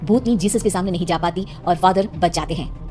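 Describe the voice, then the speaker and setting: A woman's voice: fairly low pitch, brisk, over music. A woman narrating a scripted horror cartoon story as its storyteller.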